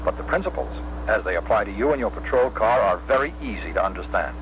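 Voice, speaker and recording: A man delivers stern, authoritative, measured scripted narration for a retro police training film. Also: fairly low pitch, expressive. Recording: noisy old film soundtrack.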